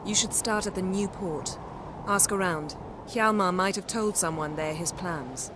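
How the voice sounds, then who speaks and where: An actress playing a haughty, self-assured sorceress in a video game, with formal, clipped, commanding speech. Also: female voice, fairly low pitch, unhurried, background noise, close to an everyday voice. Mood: cool, determined. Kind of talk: dramatic video game dialogue. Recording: game scene with loud background ambience.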